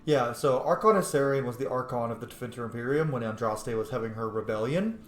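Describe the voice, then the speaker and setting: A man streams a video game with casual, enthusiastic commentary. His medium-pitched voice is informal and sweary, recorded in an echoey space with faint noise behind it. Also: fast. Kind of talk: casual playthrough commentary with in-character asides.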